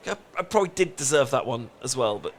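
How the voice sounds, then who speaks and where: A man's voice: medium pitch, lively intonation, fast, slight background noise, voice pushed down, natural voice. A man with a British accent playing a flustered, lovestruck best friend in a romantic comedy audio roleplay, stammering and earnest. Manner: stammering, rambling, earnest confession.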